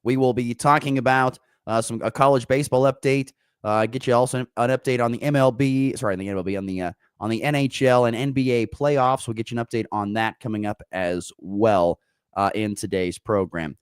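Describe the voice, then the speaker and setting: Hoarse upbeat male sports radio host. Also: mid-range voice, fairly quick, dry recording, clean studio sound. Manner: upbeat, rambling with many uh fillers. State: hoarse, slight sore throat. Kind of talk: morning sports talk show.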